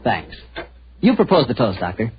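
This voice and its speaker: A man's voice: medium pitch, wildly swooping pitch, normal speed, slight background noise. A man playing a genial, eager American radio announcer with folksy sales patter, in 1940s broadcast style. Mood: friendly, curious. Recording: muffled, husky 1940s radio broadcast recording.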